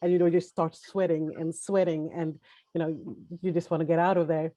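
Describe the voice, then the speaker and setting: A woman with a Swedish accent speaks over a video call in a low, mellow voice. She talks haltingly, with fillers and restarts as she hunts for English words. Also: little pitch movement. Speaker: webinar panelist. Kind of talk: personal testimony on a webinar.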